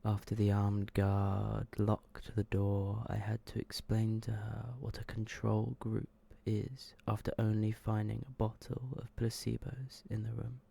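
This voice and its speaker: A man softly reading short horror stories in an ASMR style, slow and hushed, often slipping into a whisper. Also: on the low side, monotone, gravelly, slightly rough. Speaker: ASMR video creator and host.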